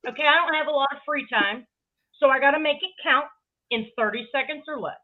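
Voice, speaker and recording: Thin phone-like, very high-voiced combative woman. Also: fast. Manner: blunt, confrontational, swaggering. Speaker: livestream guest. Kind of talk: casual combative rant. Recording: thin, phone-like band-limited sound.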